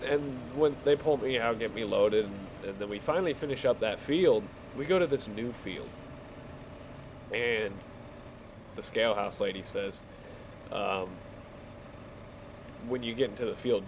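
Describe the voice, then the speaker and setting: A man casually telling rambling stories while he plays a game, halting and easily distracted. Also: lowish voice. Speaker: gamer telling stories over gameplay. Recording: clear background vehicle engine noise. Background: game vehicle engine sounds.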